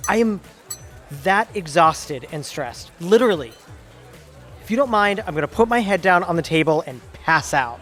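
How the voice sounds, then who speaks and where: An actor playing a whiny, exhausted, melodramatic junior attorney in an audio theatre comedy. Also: male voice, fairly high pitch, animated, normal speed, fairly bright tone, faint music behind. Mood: exhausted whining. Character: whiny, exhausted, overdramatic male junior attorney plotting murder.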